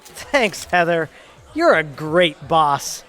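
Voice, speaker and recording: Slow, uneasy office guy. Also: a little high, sing-song, fairly bright tone, heavily acted. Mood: awkward. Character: uneasy, hesitant male law-firm employee named Brian. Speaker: actor in an audio drama. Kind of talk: audio theatre acting.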